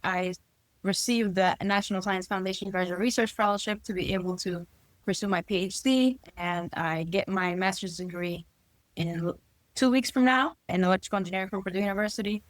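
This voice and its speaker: Podcast guest, woman in her 20s from New Jersey, earnest and steady, dry recording. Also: medium pitch, a little fast, dry recording. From New Jersey, USA. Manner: earnest, lists achievements steadily. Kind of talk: casual interview talk.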